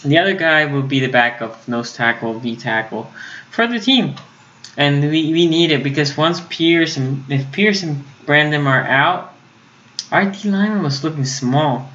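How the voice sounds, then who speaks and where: A man's voice: a little high, measured pace, bright, forceful tone, highs cut off. A man from Puerto Rico whose first language is Spanish, hosting a casual sports live stream, reading the chat and restarting his sentences often. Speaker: sports YouTube host.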